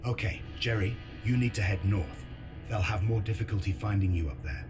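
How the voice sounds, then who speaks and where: An actor playing a weary, resolute resistance veteran, speaking soberly and seriously in a video game drama. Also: male voice, on the low side, moderate pace, music in the background, lightly acted. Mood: calm, advising. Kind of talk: dramatic game narration and dialogue.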